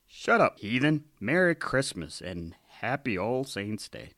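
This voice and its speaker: A man's voice: medium pitch, big pitch swings, measured pace, fairly bright tone, no room sound, studio quality. A man playing a bossy, self-righteous militant protest leader in a satirical radio drama. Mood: commanding.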